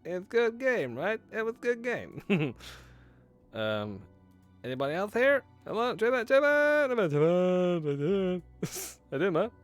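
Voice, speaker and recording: Sweary male streamer with lively pitch. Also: unhurried, faint music behind. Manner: swears often, laughs, banters with chat. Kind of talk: live-streamed horror game playthrough, reading game text aloud. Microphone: headset.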